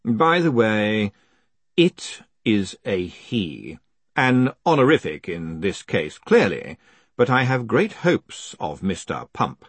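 A man voicing a wearily commanding male ruler who is cool, courteous, formal and measured, in a storybook. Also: fairly low pitch, very animated, breathy edge, no echo, heavily acted. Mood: measured, pointed.

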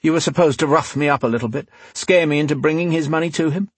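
A man voicing a wry, quietly threatening rogue in an audiobook, unhurried and mock-polite. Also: a little high, slightly rough, no echo, voice pushed up. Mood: dry, dismissive. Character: cool, menacing male rogue with dagger, wryly amused. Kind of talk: dramatic audiobook character voice.

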